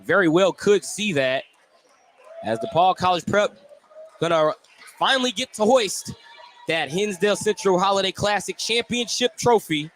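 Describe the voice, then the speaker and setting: High-pitched male basketball play-by-play announcer. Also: expressive, moderate pace, a bit bright. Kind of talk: live sports commentary. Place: indoor basketball gym. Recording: light gym noise behind the commentary. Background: gym ambience.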